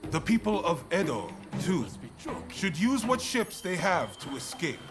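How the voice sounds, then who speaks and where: An actor playing a resolute, burdened samurai commander in a video game cutscene, speaking in a measured, plain-spoken way with weary resolve. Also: male voice, high-pitched, sing-song. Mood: grave, steady. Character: frank, resolute samurai military commander, burdened but calm. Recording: music behind the voice.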